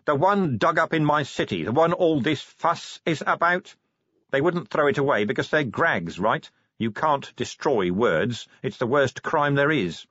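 Man as a blunt, husky watch commander. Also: fairly high pitch, animated, a little slow, fairly bright tone, no room sound, dull audio, pitched above the performer's own voice. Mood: indignant. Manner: blunt, insistent. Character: gruff, suspicious male city watch commander.

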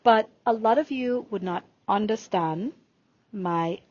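A woman in her forties from Jamaica with a slight Jamaican accent, hosting a podcast in an unhurried, drawn-out monologue. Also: mid-range voice, a little mellow, no echo. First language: Jamaican Patois. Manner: slow, unhurried, drawing out words in lists. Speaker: podcast host and spiritual healer. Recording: dull lo-fi recording.

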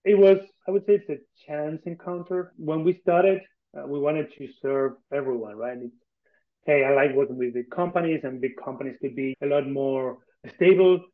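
A man's voice: fairly high pitch, fairly even intonation, moderate pace. Argentine man on a thin, phone-like line. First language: Spanish. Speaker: interview guest, tech company co-founder. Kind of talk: conversational podcast interview. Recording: thin phone-like online call audio.

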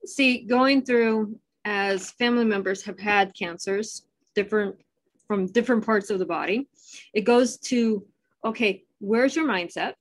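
A woman hosting a podcast interview, chatty and quick to laugh. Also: medium pitch, rough recording. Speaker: podcast host. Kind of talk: casual interview chat.

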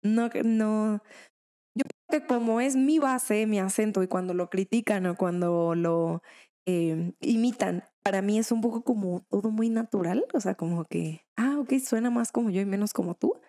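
Young female co-host, soft dark tone, casual. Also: mid-range voice, moderate pace. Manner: casual, slangy, hesitant. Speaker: podcast co-host. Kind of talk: casual chat.

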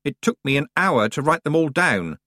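A reader voicing a sensible, sharp-tongued young girl witch, level and reproachful. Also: medium pitch, very animated, normal speed, no room sound, studio quality, voice pushed up. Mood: matter-of-fact.

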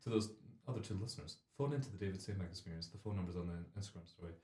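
Young man, host, deep phlegmy voice, jokey rambling, echoey room. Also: very fast, a little mellow. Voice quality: deep, carrying voice. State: phlegmy, clearing throat. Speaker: radio show host. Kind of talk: comic casual chat.

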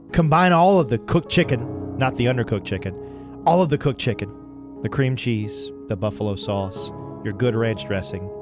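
Slow, weighty man reading over music. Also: mid-range voice. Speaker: morning radio show crew member. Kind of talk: mock-dramatic reading of a recipe. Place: radio studio. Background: music under the voice.

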